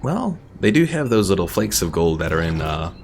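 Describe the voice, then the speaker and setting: A male streamer with a low, gravelly voice comments casually on his game. He is laid-back and chatty, and faint game music plays behind him. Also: very animated, moderate pace, darkish tone. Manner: laid-back, chatty, reading chat aloud. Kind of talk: casual live gameplay commentary. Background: video game music.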